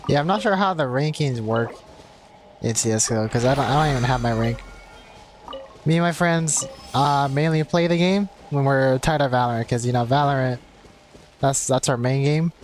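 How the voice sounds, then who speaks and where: Male game streamer, young adult, mid-pitched, rambling casual chat with music behind. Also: normal speed. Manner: rambling, conversational. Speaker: game livestreamer. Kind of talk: casual chat while gaming.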